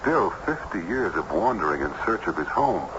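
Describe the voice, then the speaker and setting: An actor playing a curious, incredulous period judge who speaks formally and dramatically in an old radio drama. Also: male voice, deep voice, big pitch swings, normal speed. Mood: intrigued. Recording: noisy, muffled old radio recording.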